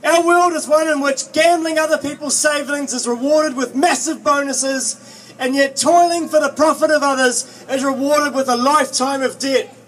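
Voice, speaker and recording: Young male student activist, emphatic rally oratory, strikingly high pitch, distant outdoor recording. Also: steady tone, moderate pace, a bit bright. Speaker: student movement speaker, postgraduate student. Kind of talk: prepared political rally speech. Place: outdoors at a street march. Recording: distant outdoor capture with faint noise.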